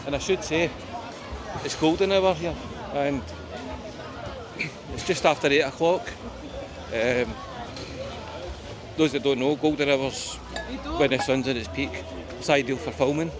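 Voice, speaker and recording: Man, broad Scottish accent, slightly high voice, casual walking vlog, ums and wee, outdoor crowd, festival music. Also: a little slow, pauses often. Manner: chatty, rambling, uses wee and aye, many ums. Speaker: travel vlogger. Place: busy outdoor seaside promenade. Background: crowd chatter and festival music.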